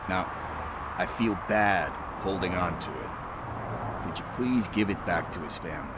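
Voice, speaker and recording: A chatty street informant and petty thief, with a deep voice. He wheedles and stalls in folksy slang, over clear urban noise. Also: expressive, a little slow. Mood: chatty, pleading. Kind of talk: video game cutscene acting.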